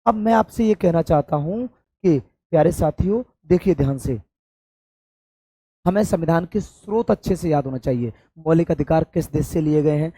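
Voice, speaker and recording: A hoarse man from India, teaching an exam-prep lesson and stressing points by repeating them. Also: high-pitched, darkish tone, no room sound. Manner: addresses students affectionately, emphatic repetition. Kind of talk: classroom-style exam coaching lecture.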